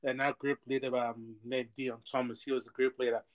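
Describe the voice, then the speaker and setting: A man's voice: mid-range voice, a little fast, dull audio. Chatty man hosting. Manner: lively and chatty, repeating "amen", with many fillers and restarts. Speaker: podcast host. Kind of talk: casual podcast interview.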